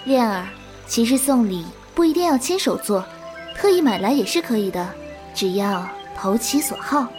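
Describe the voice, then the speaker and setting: An actress playing a gentle, easily flustered young female disciple in a Chinese wuxia audio drama, with a soft, coaxing manner. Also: fairly high pitch, lively intonation, moderate pace, music in the background, close to an everyday voice. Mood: gentle, patient.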